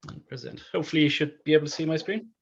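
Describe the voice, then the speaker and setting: A man's voice: on the high side, very fast, gravelly, breathy edge. A man giving an informal technical presentation on a video call, often restarting his phrases as he goes. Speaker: technical presenter, DevOps consultant. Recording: dull lo-fi video call audio.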